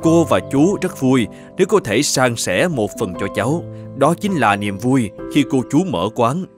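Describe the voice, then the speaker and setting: A kindly older male eatery owner from an animated moral tale. He speaks in a middle-pitched voice with a calm, reassuring manner. Light music sits underneath. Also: a little slow. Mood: gentle, reassuring.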